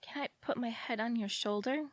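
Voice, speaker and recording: A woman's voice: a little high, fairly quick, no room sound, close to an everyday voice. Actress as anxious young woman in love, rambling and second guessing herself, audio play, really dry sound. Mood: dejected, pleading. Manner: rambling, fretful self-questioning. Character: anxious lovelorn young woman waiting for her lover. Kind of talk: audio theatre acting.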